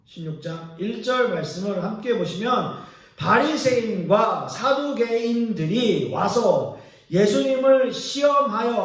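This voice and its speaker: A male pastor preaching a sermon, explaining scripture and putting questions to the congregation. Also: sits high. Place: church sanctuary. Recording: echoey church hall from far off.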